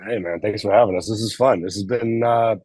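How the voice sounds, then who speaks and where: A man's voice: on the low side, brisk, a little mellow, husky, no room sound. A man from California, a documentary filmmaker guesting on an interview show, talking in an easygoing way and laughing often. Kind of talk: casual interview chat.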